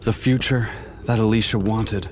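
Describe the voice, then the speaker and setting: A man's voice: lowish voice, steady tone, moderate pace, slight background noise, close to an everyday voice. A man playing a determined, grieving fantasy hero in a video game, speaking earnestly and dramatically. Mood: determined, somber.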